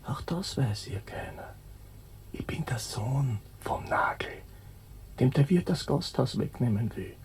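A man's voice: mid-range voice, animated, unhurried, darkish tone, in a whisper. An actor playing an earnest young novice policeman with a Viennese accent in a satirical radio play. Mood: secretive whisper. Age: young adult. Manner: earnest, recites slogans. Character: eager, sloganeering young novice policeman with a secret.

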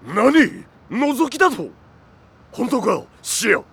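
A hot-tempered, doting father from an anime audio drama. He blusters roughly and menacingly, pitched high for a man and swinging wildly. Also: sing-song, slow pace, a bit bright, soft background music, exaggerated voice. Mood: outraged.

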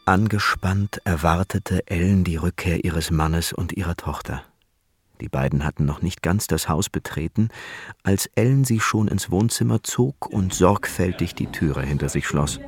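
An actor playing a grave storyteller, reading scripted narration for a suspense radio play. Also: male voice, low-pitched, normal speed, slightly rough. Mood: measured.

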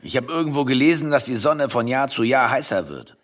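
An actor playing a brusque, pompous rich husband in a radio drama, with a blustering manner. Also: male voice, medium pitch, expressive, brisk, natural voice. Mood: rambling.

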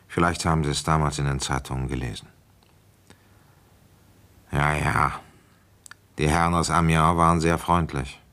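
An actor playing a weary, shrewd provincial policeman recounting an old case in a radio play, deliberate, conversational and wry. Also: a bass voice, fairly bright tone. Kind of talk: German radio play, first-person narration and dialogue.

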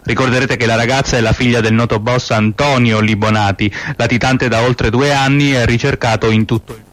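An actor playing a formal radio news announcer, reading a scripted bulletin in a measured way. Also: male voice, medium pitch, steady tone, no echo, natural voice.

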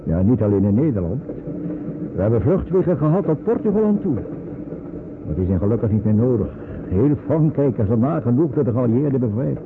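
A muffled, noisy old radio drama recording. a calm wartime resistance escort, steady and reassuring, giving measured instructions in a middle-pitched voice with lively intonation. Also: male voice. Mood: earnest, steady. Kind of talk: Dutch radio play acting.

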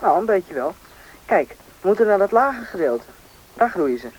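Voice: medium pitch, sing-song, moderate pace, darkish tone, close to an everyday voice. A performer playing a bossy, knowing country boy, confident and impatient, in a radio drama. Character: bossy, knowing boy who grew up in the taiga. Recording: noisy old radio play recording.

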